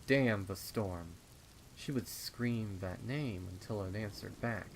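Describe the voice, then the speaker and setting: A man narrating a dark horror story in a dramatic, ominous style with deliberate phrasing. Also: on the low side, slight background noise, low-quality recording. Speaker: fan fiction narrator. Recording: rain and storm ambience behind. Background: rain.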